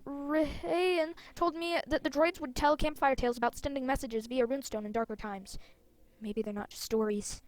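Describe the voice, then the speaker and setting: A solemn, mysterious older sister from a fantasy game, put on by a woman. She speaks slowly and ominously, close and dry. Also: sits high, steady tone, no echo, close to an everyday voice.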